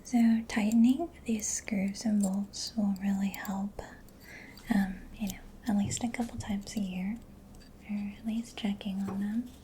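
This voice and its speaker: Slow, whispering soft-spoken ASMR woman. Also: medium pitch, fairly even intonation, slight background noise. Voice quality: soft, breathy, mostly whispered. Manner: gentle, soothing, unhurried, murmured reassurance. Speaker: ASMR performer. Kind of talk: soft-spoken ASMR mechanic roleplay with personal attention. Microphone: close ASMR microphone.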